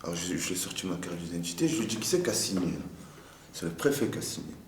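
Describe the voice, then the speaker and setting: A man's voice: low voice, animated, fast talker. A man talking casually in a street interview, repeating words and firing off rhetorical questions. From France. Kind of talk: casual, animated street talk. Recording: echoey, faint background noise.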